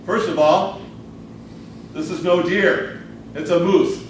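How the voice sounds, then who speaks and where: A confident, bossy doctor played by a man declaims with great emphasis in a live tall tale. The voice is raised a little high and carries from a distance through a very echoey, noisy room. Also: steady tone, slow pace. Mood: commanding. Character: bossy male television doctor, confident and grandiose helper.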